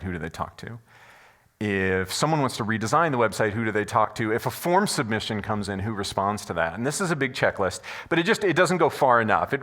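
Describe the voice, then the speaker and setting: Male conference presenter, loud and animated, quick delivery, bright forceful tone. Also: mid-range voice. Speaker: conference speaker. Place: conference room before an audience. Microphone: stage microphone.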